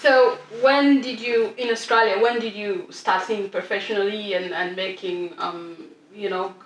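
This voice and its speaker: Woman host, short steady questions, distant mic in a roomy space. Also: medium pitch, moderate pace. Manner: brief prompts, steady questions. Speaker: interviewer. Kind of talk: casual interview. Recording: echoey room, distant mic, faint noise.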